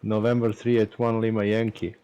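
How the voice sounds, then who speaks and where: A man from Serbia with a Serbian accent, speaking English as a second language in halting casual chat with many pauses and fillers on a live stream. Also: lowish voice, monotone, dark tone, clear, resonant tone, lo-fi sound.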